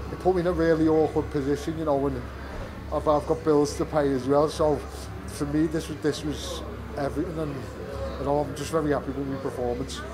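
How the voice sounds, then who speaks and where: A British man in his twenties, a fighter talking candidly after a bout, rambling with many fillers and restarts. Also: fairly high pitch. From United Kingdom. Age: 20s. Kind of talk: post-fight press interview. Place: fight venue media area. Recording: crowd noise behind. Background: crowd chatter.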